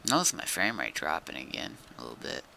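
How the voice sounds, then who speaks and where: A man's voice: low-pitched, wildly swooping pitch, a little fast, gravelly, background noise. A man chatting casually while streaming a game, thinking aloud in a laid-back way. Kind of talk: casual gaming chat.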